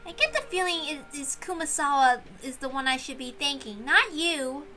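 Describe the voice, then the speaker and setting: A woman's voice: sits high, moderate pace, pushed and bright. A woman voicing an earnest, stubborn young servant girl in a visual novel read-aloud, determined and defiant. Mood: grudging. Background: music.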